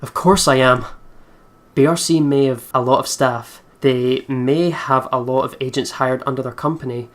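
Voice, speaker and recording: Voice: medium pitch, fairly even intonation, normal speed. A game video host reading a horror visual novel aloud dramatically, with casual asides.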